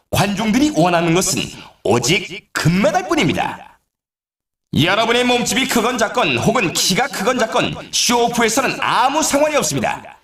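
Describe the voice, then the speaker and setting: Video game snowboard event announcer: excitable showman, theatrical, very animated male delivery with roomy echo. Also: high voice. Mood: hyped, urging. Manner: theatrical, showy, exclaiming. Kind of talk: hyped sports game announcing, scripted.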